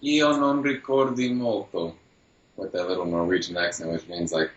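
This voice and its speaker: A man's voice: low voice, steady tone, normal speed, roomy sound, lo-fi sound. A man in a casual chat, teasing his friend and swearing, ending many lines with "man". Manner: teasing, profane, says "man" constantly.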